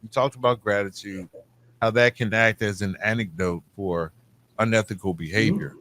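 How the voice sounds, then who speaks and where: A man's voice: fairly low pitch, normal speed, a bit bright. An American man hosting a podcast, interviewing in a casual, colloquial way. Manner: informal, colloquial. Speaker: podcast host.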